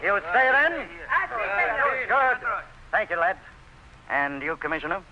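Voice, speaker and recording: An actor playing a defiant, domineering plantation owner who barks orders and boasts in old-time radio drama style. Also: male voice, high voice, big pitch swings, takes it slowly, lo-fi sound, a little hiss or hum, heavily acted. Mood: rallying. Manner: commanding, boastful, barking orders.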